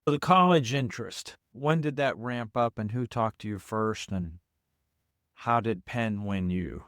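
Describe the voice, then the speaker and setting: A man's voice: on the low side, a little slow, a bit bright, soft background music. A man interviewing a guest, asking brief and curious questions.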